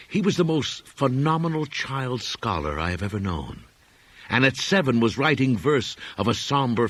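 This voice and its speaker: A man narrating a scripted horror story as an audiobook reader, in a measured, solemn and deliberate manner. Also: lowish voice, fairly bright tone.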